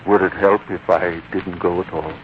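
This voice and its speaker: An actor plays a stodgy but kindly old man of learning. His delivery is avuncular, humble and gently halting, in 1940s radio drama style. The audio is dull and faintly noisy. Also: sits low, flat delivery, natural voice. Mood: thoughtful, reassuring.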